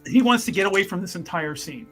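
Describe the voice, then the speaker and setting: Animated, higher-pitched man playing a tabletop game. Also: quick pace, husky, soft background music. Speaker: player in a tabletop roleplaying game. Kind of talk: casual improvised game chat.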